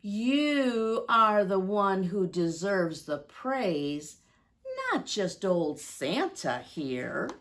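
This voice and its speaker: A woman's voice: medium pitch, lively intonation, drawn-out words, fairly bright tone, heavily acted. A woman voicing a humble, devout old Santa in a storybook, praying in a reverent, pleading manner. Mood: earnest, reverent.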